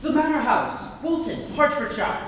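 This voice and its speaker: A man playing an earnest, lovestruck young gentleman in a staged reading of a period comedy. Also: very high-pitched, animated, moderate pace. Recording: distant, echoey noisy hall.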